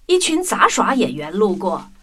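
A woman's voice: mid-range voice, very animated, normal speed, a bit bright, husky. A woman narrating a children's story in a scripted storytelling style. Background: music.

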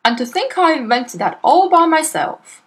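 A woman voicing a boastful, crooked male car dealer who talks loudly and smugly. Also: sits high, big pitch swings, moderate pace, pitched above the performer's own voice. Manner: blustering and smug, loud.

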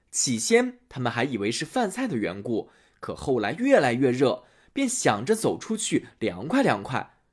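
A man reading a fairy tale aloud as a storyteller, in a lively, expressive way. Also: fairly high pitch, husky.

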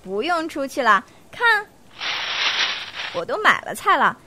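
A woman's voice: sits high, very animated, unhurried, heavy background noise. An actress playing a cheerful, independent young woman in an amateur radio drama, coaxing and breezy. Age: young adult.

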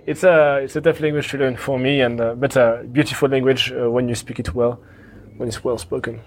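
A man whose first language is French answers questions as a podcast guest. He speaks at a middle pitch in a considered, hesitant way, with frequent "um" and restarts. The talk stays casual and friendly. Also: level tone, a little fast, slight background noise. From France. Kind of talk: casual conversational interview.

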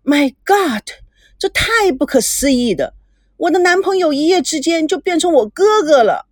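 A woman voicing a lively, outspoken young granddaughter who exclaims loudly in an audiobook. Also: lowish voice, normal speed, husky, no echo, studio quality, pitched below the performer's own voice, heavily acted. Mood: astonished. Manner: loud, exclaiming.

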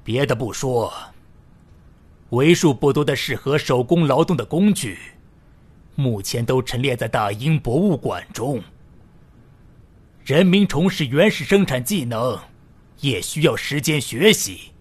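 A man's voice: mid-range voice, animated, a little slow, long pauses, husky. A man playing a worried, cautious diplomat speaking formally in an audio drama. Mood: concerned, cautious.

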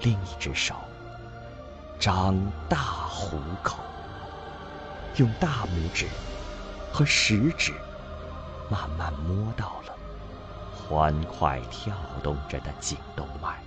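A man narrating a scripted radio drama in an emotive, deliberate storytelling manner. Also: sits low, expressive, music in the background.

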